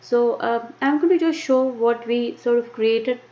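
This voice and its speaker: A woman with an Indian accent presenting a live-streamed tech lesson, talking haltingly with many fillers and restarts. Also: a little high, warm tone. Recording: muffled lo-fi stream with faint noise.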